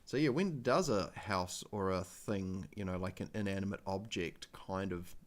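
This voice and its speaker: A man with a New Zealand accent hosting a casual livestream, talking in a halting, rambling way with many fillers and restarts into a desk microphone. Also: sits low. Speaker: livestream host.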